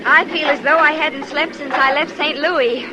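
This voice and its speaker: Woman playing a high-voiced, naive frontier girl. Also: fairly even intonation, normal speed, lightly acted. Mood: confiding, earnest. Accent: American. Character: naive, polite, timid seventeen-year-old girl. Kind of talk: 1950s radio western acting. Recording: noisy old radio drama recording.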